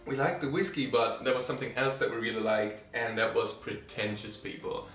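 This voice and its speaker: Casual vlogger guy, mid-pitch and easygoing, roomy echo, mic a bit far off. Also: faint music behind. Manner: chatty, animated, doing quick impressions of others. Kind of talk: casual storytelling vlog.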